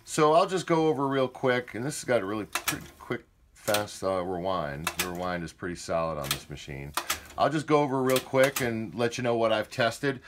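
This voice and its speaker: A man's voice: on the low side, normal speed, noisy background. A man talking casually and matter-of-factly as he gives an unscripted product demonstration. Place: indoors, off camera beside a tape deck. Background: music.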